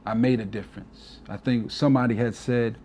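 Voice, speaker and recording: A man's voice: medium pitch, fairly even intonation, brisk, warm tone, slight background noise. A man in his forties, a DJ guest on an interview, talking earnestly and from the heart, often adding "you know". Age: 40s. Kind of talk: casual reflective interview talk.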